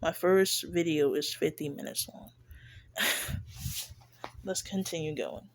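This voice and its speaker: A congested, stuffy-sounding speaker talks slowly through a reaction commentary. The delivery is shy and hesitant, full of fillers and restarts, at a middling pitch. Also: creaky. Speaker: reaction video creator. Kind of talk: casual commentary on a played clip. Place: lives in Texas.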